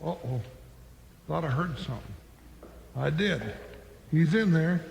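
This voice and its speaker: An actor playing an excitable, folksy, talkative western sidekick in a 1950s radio drama. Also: male voice, on the high side, unhurried, vocal fry. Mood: wary muttering. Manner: folksy drawl, yells when excited. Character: excitable, talkative old-west sidekick deputy, comic and loyal. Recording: hall reverb, faint hiss of old radio recording.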